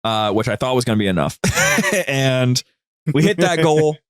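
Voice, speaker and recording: A man's voice: medium pitch, very animated, normal speed, no room sound, studio quality. A man chatting casually as a podcast guest, telling stories in an informal, rambling, slangy way. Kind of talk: casual, anecdotal chat.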